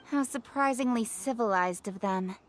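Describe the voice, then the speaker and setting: A woman plays a brisk, proud young official of noble bearing in a video game. The voice sits fairly high and the delivery is formal, articulate and forthright. There is slight noise behind it. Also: close to an everyday voice. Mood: scornful, candid. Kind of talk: video game character acting.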